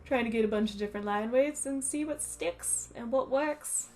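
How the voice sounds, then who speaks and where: A woman talking over a drawing video, slightly raspy from a cold, chatty and self-critical as she explains each step. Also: on the high side, moderate pace, darkish tone, soft background music. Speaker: art channel host. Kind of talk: voice-over narration of a drawing process.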